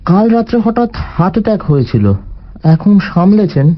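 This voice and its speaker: Nervous, evasive son for audio drama, highish voice, swooping intonation, dull recording. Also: male voice, big pitch swings, moderate pace. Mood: flustered, evasive. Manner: hesitant, repetitive, nervously over-explaining. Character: flustered, evasive grown son guarding his father. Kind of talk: audio story acting.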